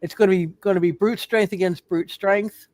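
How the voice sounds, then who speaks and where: A game master running a live tabletop superhero roleplay, speaking haltingly and often repeating words and phrases. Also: medium pitch, fairly even intonation, a little mellow.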